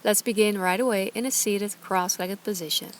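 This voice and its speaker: A woman teaching yoga and guided meditation, speaking calmly and soothingly in short, gentle instructions. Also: mid-range voice, moderate pace, a little hiss or hum. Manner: calm, gentle, soothing guidance with short phrases.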